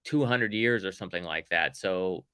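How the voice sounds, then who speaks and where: A man hosting a casual sci-fi review podcast, talking loudly and upbeat, projecting his voice. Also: low-pitched, moderate pace, clear, resonant tone, no room sound, studio quality. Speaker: podcast host.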